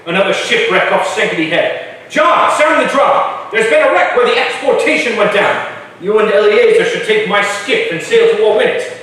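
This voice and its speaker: A man's voice: sits high, expressive, normal speed, pauses often, fairly bright tone. A man playing a principled, earnest colonial father in a staged reading, recorded from far off in an echoey hall. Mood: urgent. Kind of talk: staged reading of a historical drama. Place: live stage in a reverberant hall.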